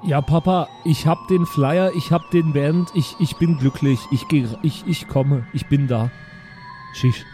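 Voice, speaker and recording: A man playing a naive, excitable alien son in an improvised audio play, speaking childlike broken German with a repeated verbal tic. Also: a little high, measured pace, soft background music, natural voice. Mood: eager.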